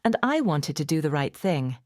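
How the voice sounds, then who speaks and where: A woman's voice: sits low, big pitch swings, normal speed, darkish tone, no room sound, studio quality. A woman storyteller voicing a shy, polite, earnest young boy in a storybook. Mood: shy, sincere.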